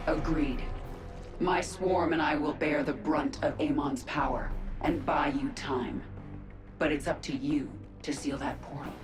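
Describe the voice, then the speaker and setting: A woman's voice: deep voice, steady tone, a little slow. An actress playing a battle-hardened alien swarm queen in a video game, speaking tersely and matter-of-factly. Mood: determined. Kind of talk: video game cinematic acting. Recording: echoing voice under music.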